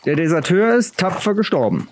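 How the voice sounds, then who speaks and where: A man's voice: high-pitched, very animated, fairly quick, slight background noise, voice pushed up. A man voicing a bold, brash teenage noble youth with a challenging manner, in a fantasy audiobook. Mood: assertive.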